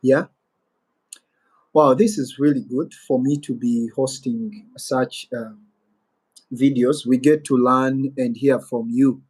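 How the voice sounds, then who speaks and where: Male live-streamer with a Ugandan accent, slow and rambling, voice in a middle register. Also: mid-range voice, steady tone, a little mellow, slightly rough, poor audio. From Western Uganda. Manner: rambling, muses aloud and reads viewer comments. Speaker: YouTuber hosting a live Q&A. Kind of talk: casual live-stream chat.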